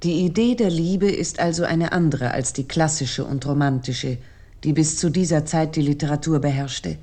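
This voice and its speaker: A woman narrating a scripted literary lecture in a measured, analytical manner. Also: low voice, mellow.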